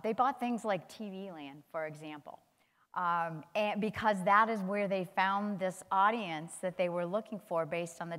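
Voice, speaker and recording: Woman, 60s, conference panelist; lively delivery, slightly low pitch, reverberant hall. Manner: animated, gestures while talking. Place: large conference hall stage. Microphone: lapel mic.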